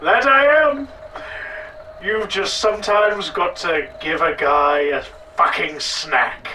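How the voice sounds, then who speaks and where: A man's voice: fairly high pitch, slow, pushed and bright, full character voice. A man playing a wry, easygoing tough guy in a noir audio drama, recorded at a distance. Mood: self-satisfied, wry. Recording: distant mic, faint background noise.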